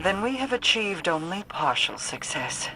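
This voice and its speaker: A woman voicing a regal, secretive queen in a video game, speaking in a measured, formal, commanding way over loud orchestral music. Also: medium pitch, big pitch swings, natural voice, low-quality recording. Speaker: queen guiding the player. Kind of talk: video game cutscene dialogue. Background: loud orchestral game score.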